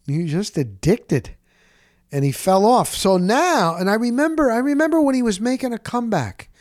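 A man hosting a sports talk show, telling stories in a casual, rambling, conversational way with an animated, exclamatory manner. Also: high-pitched, moderate pace, no echo, clean studio sound.

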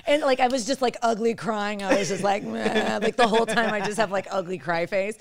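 A woman's voice: mid-range voice, brisk, vocal fry. A woman in her thirties in a casual chat, talking with lots of "like" and laughing at herself. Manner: chatty, self-mocking, laughs often, lots of "like".

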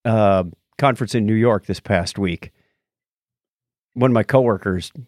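A man's voice: on the low side, measured pace, darkish tone, no echo, clean studio sound. A man co-hosting a podcast in casual chat, telling jokey stories and acting out both sides of conversations. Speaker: podcast co-host.

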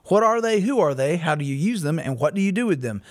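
Man, podcast host, slightly high voice, very dry close sound, joking, restarts a lot. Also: expressive, quick pace, no echo. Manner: joking, talks through ideas with restarts. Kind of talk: casual chat.

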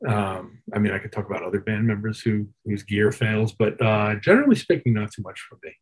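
A man with a husky voice talking as a musician guest in an interview, measured and reflective as he recounts his career. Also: lowish voice, expressive. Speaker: musician guest, touring keyboard player. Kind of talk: interview chat, telling career anecdotes.

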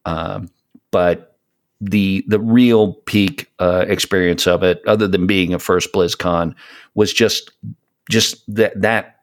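Low-voiced man in his fifties, chatting. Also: fairly even intonation, slow pace. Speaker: podcast co-host. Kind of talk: casual chat about gaming.

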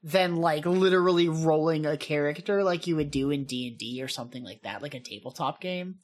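A young woman co-hosting a podcast, chatting casually with quick reactions and filler-heavy asides. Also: sits low, darkish tone. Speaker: podcast co-host and editor. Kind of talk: casual chat.

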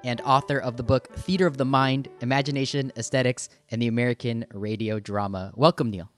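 A man hosting a podcast in a conversational interview style, with informal, halting phrasing. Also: medium pitch, fairly even intonation, soft background music. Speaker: podcast host.

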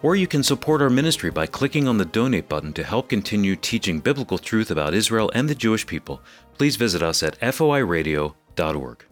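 Low-voiced male radio announcer over soft music. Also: very animated. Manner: measured, formal announcer delivery. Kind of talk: scripted announcements and news reading.

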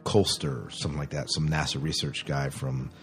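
A man's voice: a bass voice, little pitch movement, fairly quick, soft background music. A man hosting a podcast in casual chat, rambling along in a jokey way. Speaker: podcast host.

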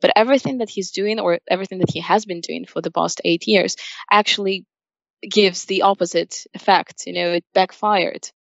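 A woman's voice: on the low side, normal speed, vocal fry, no room sound, highs cut off. A young woman from Ukraine, a native Russian speaker with a Ukrainian accent, giving earnest, emphatic answers as an interview guest. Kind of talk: spoken interview answers, personal opinion.

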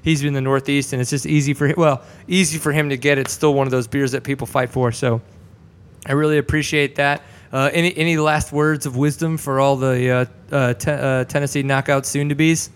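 A man's voice: mid-range voice, steady tone, a little fast. A man in his thirties with a Texan accent, hosting a casual podcast chat, laid-back and jokey, swearing freely. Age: 30s. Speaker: podcast host.

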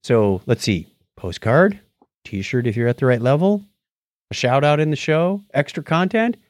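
A man's voice: mid-range voice, animated, moderate pace, no echo, clean studio sound. A man co-hosting a casual podcast with playful, jokey banter. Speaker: podcast co-host.

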